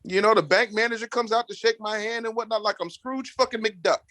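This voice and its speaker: A man guesting on a podcast, talking in a loud, booming, blunt and profane way, sometimes slipping into mocking voices. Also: steady tone, fairly quick, no room sound, studio quality. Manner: slips into mocking put-on voices. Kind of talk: profane casual chat.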